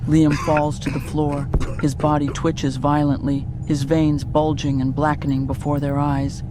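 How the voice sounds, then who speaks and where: A narrator reading a scripted audio drama in a measured, descriptive storytelling manner. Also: medium pitch, level tone, noisy background.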